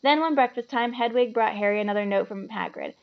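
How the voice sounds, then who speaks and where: A woman reading a children's novel aloud as an expressive storyteller. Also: on the high side, fast talker, highs cut off. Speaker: storybook reader.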